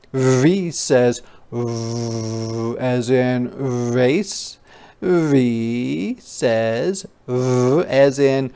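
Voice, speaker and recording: Children's read-aloud teacher, extremely unhurried, clean smooth tone, deliberate enunciation, playful phonics lesson delivery. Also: mid-range voice. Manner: exaggerated, deliberate enunciation of letter sounds and words; playful, expressive asides.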